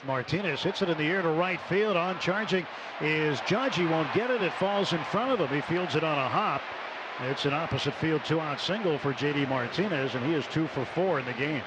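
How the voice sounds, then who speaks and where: Man, baseball radio announcer, fairly high voice, measured phrasing, clipped calls, noisy ballpark broadcast. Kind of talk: live radio sports commentary. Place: stadium broadcast booth. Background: ballpark ambience.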